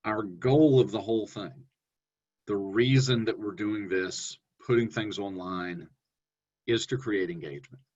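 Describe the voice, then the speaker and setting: A man presenting a webinar on online teaching, talking in a relaxed, conversational way with fillers and self-corrections. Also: measured pace. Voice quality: deep voice. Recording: dull lo-fi webinar audio.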